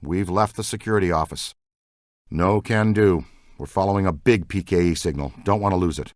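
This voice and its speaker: An actor playing an excitable, geeky male scientist who investigates the paranormal in a video game, earnest and full of technical jargon. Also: low voice, moderate pace, dry recording, clean studio sound, lightly acted. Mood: calm, serious. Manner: earnest, technical jargon, swings into boyish enthusiasm. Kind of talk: scripted game dialogue.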